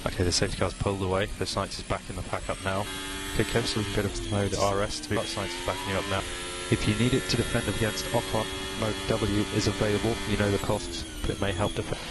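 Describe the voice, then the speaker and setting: A man working as a race engineer, giving terse, calm, businesslike briefings to a driver over team radio. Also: lowish voice, quick pace, hardly any pauses. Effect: team radio transmission. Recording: very noisy team radio with engine and vehicle noise.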